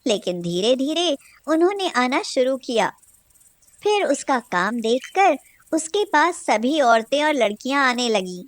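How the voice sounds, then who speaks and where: High-pitched woman narrating a story. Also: no echo. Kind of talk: scripted cartoon story narration.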